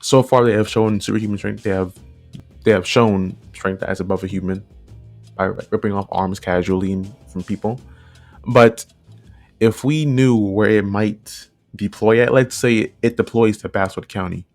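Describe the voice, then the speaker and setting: A man's voice: on the low side, steady tone, normal speed, darkish tone, faint music behind. A man giving casual, unscripted commentary, rambling along and often repeating and rephrasing himself. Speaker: video creator, narrator.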